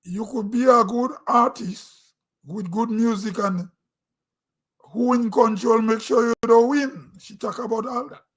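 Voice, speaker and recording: A man's voice: extremely high pitch, fairly even intonation, unhurried. A middle-aged Grenadian man with a Grenadian accent, giving a rambling, conversational opinion monologue on his own online show. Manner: rambling, conversational, with throat-clearing and restarts.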